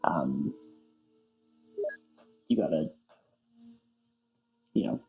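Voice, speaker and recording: A man's voice: rumbling low, steady tone, drawn-out words, creaky, darkish tone, poor audio. A man being interviewed in a casual chat, speaking in a hesitant, thoughtful way. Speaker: interview guest, amateur voice actor. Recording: music playing behind. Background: background music.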